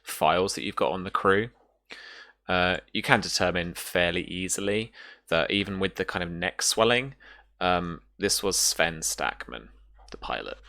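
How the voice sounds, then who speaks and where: A man narrating as game master in a tabletop roleplaying game, in a halting, descriptive manner. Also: low-pitched, sharp tone.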